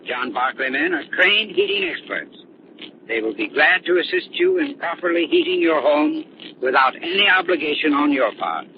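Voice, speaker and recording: Instructive 1930s radio adman, slightly high voice. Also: expressive. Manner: measured, instructive. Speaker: heating expert in radio advertisement. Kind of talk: scripted 1930s radio advice. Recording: dull, noisy 1930s radio recording.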